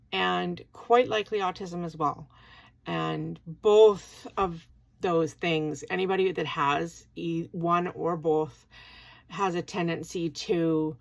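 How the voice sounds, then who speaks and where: Give me a rambling, chatty woman in her forties with a gravelly, somewhat low voice. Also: fairly bright tone. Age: 40s. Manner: chatty, rambling, many ums and restarts. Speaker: vlogger, part-time university student. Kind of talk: casual vlog talk.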